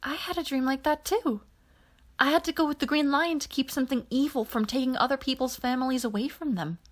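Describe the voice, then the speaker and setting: A woman's voice: high voice, brisk, pitched above the performer's own voice. A woman voicing a sarcastic teenage space hero in an audiobook, with a blunt, needling delivery. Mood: earnest.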